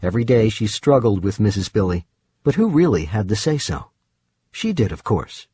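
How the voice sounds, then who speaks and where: A man's voice: lowish voice, sing-song, moderate pace, dark tone, husky, no echo. A man narrating fiction from a script as an audiobook reader, recorded in a studio. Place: dry studio.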